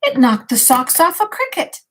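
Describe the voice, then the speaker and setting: An older woman, a grandmother, reading a picture book aloud at bedtime, expressively, with gentle questions to a child. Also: high-pitched, big pitch swings, normal speed.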